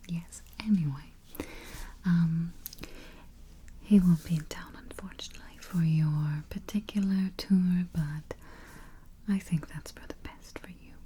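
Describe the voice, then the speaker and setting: A woman voicing a polite female vampire travel agent in soft, breathy, mostly whispered ASMR roleplay, speaking gently and close to the microphone. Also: lowish voice, slight background noise. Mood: calm, matter-of-fact. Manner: gentle, unhurried, hesitant murmured hums.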